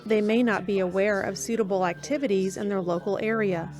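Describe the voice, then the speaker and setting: A woman reading scripted documentary narration in a measured, even way with slow pauses. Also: medium pitch, music in the background.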